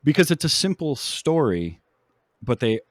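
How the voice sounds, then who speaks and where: A man hosting a casual film discussion in a conversational, measured, agreeable way. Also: mid-range voice, big pitch swings, no room sound, clean studio sound. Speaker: film podcast host.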